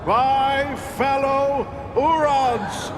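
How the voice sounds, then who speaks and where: Slow, grandiose royal advisor orating. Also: male voice, very high voice, fairly even intonation, background noise, full character voice. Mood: proud, rousing. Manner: grandiose, pompous, oratorical, dramatic pauses. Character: grandiose scheming male royal advisor, pompous orator. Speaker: royal advisor addressing citizens. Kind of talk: theatrical public speech, video game acting.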